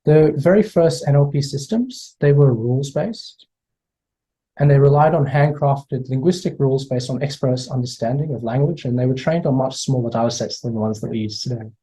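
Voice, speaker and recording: A man's voice: medium pitch, moderate pace, dark tone, slightly rough, low-quality recording. Hesitant Australian man lecturing. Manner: hesitant, repeats words, many fillers. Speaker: guest speaker. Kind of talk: online lecture. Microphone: video call.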